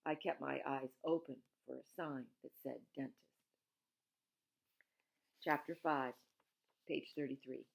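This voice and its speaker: A woman's voice: rumbling low, fairly even intonation, unhurried, creaky, pauses often. A woman reading a children's novel aloud expressively, in the manner of a teacher who explains things plainly. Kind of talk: expressive read-aloud storytelling with informal asides.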